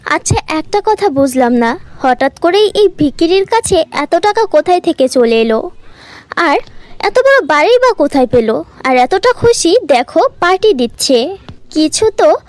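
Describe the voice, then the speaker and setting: A woman voicing a jealous, nosy young girl in a cartoon, loud and prying. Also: very high-pitched, sing-song, a little slow, no echo, full character voice. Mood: suspicious scheming.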